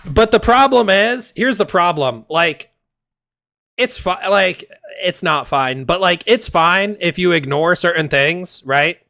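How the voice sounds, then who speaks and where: A man streaming and ranting casually, heated and exasperated, swearing often, his voice going hoarse. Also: sits high, slow, bright, forceful tone, no echo, studio quality. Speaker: game streamer. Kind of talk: casual livestream rant.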